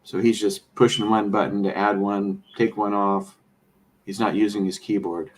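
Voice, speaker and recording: A man talking casually about trading, rambling and explaining with many fillers and restarts, a bit hoarse as he is losing his voice. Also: lowish voice, little pitch movement, normal speed, poor audio. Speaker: trader. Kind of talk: casual conversation.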